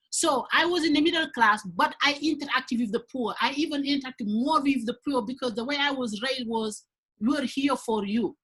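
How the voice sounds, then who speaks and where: A woman from Senegal who teaches high school talks earnestly in a panel discussion, often repeating words as she works toward her point. Her voice is a little husky and sits fairly high. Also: a bit bright, poor audio.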